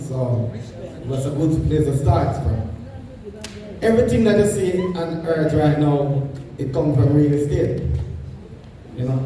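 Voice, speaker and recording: A man's voice: on the high side, mellow. A man with a Jamaican accent giving a conversational motivational seminar, checking in with his listeners. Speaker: guest speaker, financial advisor. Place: large echoey indoor hall. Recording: distant mic in very echoey hall.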